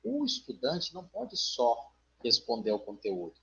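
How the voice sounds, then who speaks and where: A man's voice: medium pitch, wildly swooping pitch, a little slow, gravelly, fairly bright tone, husky. A Brazilian man, a teacher, talking casually and digressively in a live online discussion, often adding tag questions. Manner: digressive, frequent "né" tags, joking asides. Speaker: teacher co-hosting a live stream. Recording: dull online call audio.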